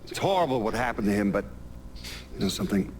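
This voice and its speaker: An actor playing a solemn man recalling a long manhunt in a game's interview scene, deliberate in delivery, with rumbling noise. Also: lively intonation, lightly acted. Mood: grave, reflective. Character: grave man recalling a criminal he long pursued. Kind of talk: documentary-style interview in a video game.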